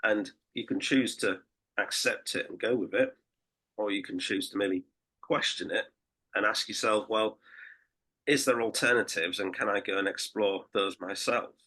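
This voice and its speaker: A man co-hosting a podcast in casual chat, croaky from a late night, rambling with restarts and fillers. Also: fairly low pitch, normal speed, bright, forceful tone, low-quality recording. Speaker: podcast co-host and political campaigner.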